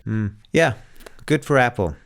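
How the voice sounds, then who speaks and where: A man hosting a casual tech discussion, talking in a halting way and often rephrasing as he explains. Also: mid-range voice, animated, creaky. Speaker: podcast host.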